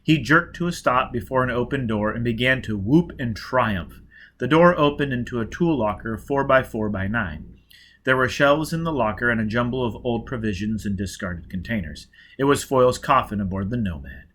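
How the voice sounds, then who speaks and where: A man narrating a science fiction novel as an audiobook in a steady, even way. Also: mid-range voice, moderate pace. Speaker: audiobook reader.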